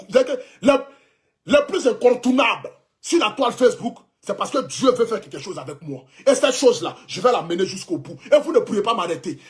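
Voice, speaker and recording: A man in his thirties with an Ivorian French accent, giving a fervent, repetitive, defiant livestream address as a social media blogger. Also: high-pitched. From Ivory Coast. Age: 30s. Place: indoors, clothing rack behind.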